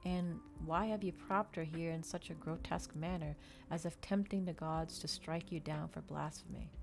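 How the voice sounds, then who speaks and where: Anguished vampire man, read by a woman, earnest, formal, accusing, low-ish, fry, soft audiobook style. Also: steady tone, moderate pace. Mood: accusing, distressed. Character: brooding male vampire, bitter, anguished, accusing. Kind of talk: soft ASMR audiobook character reading.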